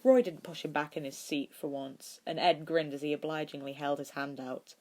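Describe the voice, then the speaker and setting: A woman's voice: deep voice, normal speed, darkish tone. A woman narrating fan fiction as a podfic reader.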